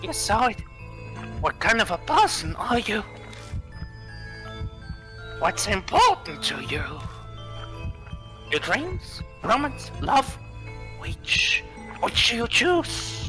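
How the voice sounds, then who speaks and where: A man's voice: extremely high pitch, big pitch swings, slow pace, pauses often, sharp tone, faint music behind, voice pushed up, exaggerated voice. A man voicing a menacing, ice-cold female spirit in a horror game, taunting and questioning insistently. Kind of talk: horror game character read-aloud.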